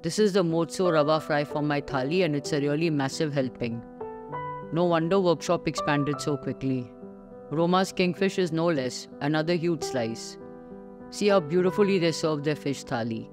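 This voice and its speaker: A middle-aged woman with an Indian English accent giving scripted voice-over narration for a restaurant visit, measured and descriptive, with clear enthusiasm for the food. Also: low voice. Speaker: food vlogger host. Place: voice-over recorded separately from the restaurant scenes. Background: background music under the narration.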